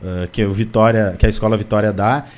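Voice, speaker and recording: A man's voice: on the low side, fairly even intonation, quick pace. A man from Brazil, a newspaper interviewer, asking a long, rambling question with hesitations.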